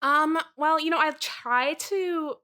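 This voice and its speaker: A woman's voice: sits high, slow pace, bright, forceful tone, full character voice. A woman playing an airy, earnest, self-styled manic pixie dream girl in an improvised mock interview. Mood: whimsical, serene.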